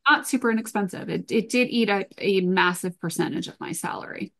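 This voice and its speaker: A woman's voice: medium pitch, animated, a little fast, creaky. A middle-aged woman from Venezuela and Brazil giving conversational, matter-of-fact interview answers as a podcast guest, explaining through personal stories.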